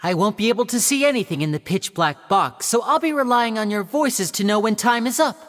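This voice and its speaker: A woman's voice: fairly high pitch, lively intonation, a little fast, big-room sound, soft background music, close to an everyday voice. A woman voicing a suave young male stage magician in a video game, addressing an audience with theatrical, polished and courteous patter. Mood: playful, instructing.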